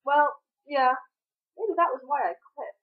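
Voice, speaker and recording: A woman reading the part of a playful, pushy man who teases and persists in an animated, insistent way. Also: high-pitched, measured pace, narrow, tinny audio, voice pushed up. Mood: teasing. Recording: thin, band-limited home recording.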